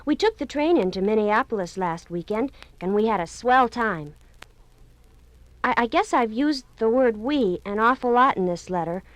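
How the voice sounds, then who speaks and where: A woman's voice: on the high side, animated, moderate pace, dry recording, lightly acted, rough recording. A woman reading as a sorry young sweetheart. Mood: apologetic. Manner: hesitant, apologetic reading. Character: young woman writing a breakup letter. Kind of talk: 1950s radio drama acting.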